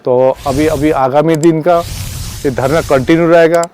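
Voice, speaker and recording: A man speaking as a protest organiser, giving a campaign statement in a halting, repetitive way and mixing in English words. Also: fairly high pitch, steady tone, darkish tone, slight background noise. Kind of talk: spoken public statement on a campaign.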